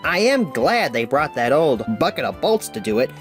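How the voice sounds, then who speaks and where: A man's voice: a little high, sing-song, moderate pace, soft background music. A man voicing a vain, mocking male steam engine in a children's storybook, with a haughty, sneering manner.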